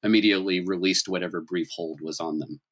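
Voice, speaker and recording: A man's voice: low voice, fairly even intonation, quick pace, fairly bright tone, breathy edge. A man improvising narration as game master of a tabletop game, explaining scenes in a conversational way. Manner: conversational, explanatory, checks in with "right?".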